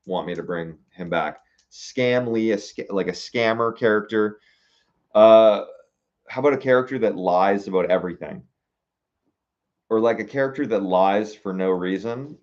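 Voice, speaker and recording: Low-voiced man musing through viewer comments. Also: a little mellow, dull audio. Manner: musing aloud, reading comments, halting with fillers. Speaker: streamer reading viewer suggestions. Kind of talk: casual livestream chat.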